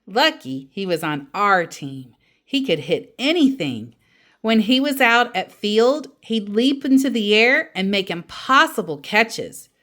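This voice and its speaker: A woman reading a children's story aloud as an animated storyteller, acting out the dialogue expressively. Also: medium pitch, measured pace. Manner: acts out quoted dialogue expressively.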